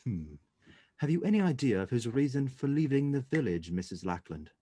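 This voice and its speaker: A man playing a composed, courteous English gentleman detective in a radio play, speaking in a measured, formal way. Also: mid-range voice, very animated, husky, no echo, clean studio sound. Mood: courteous, attentive.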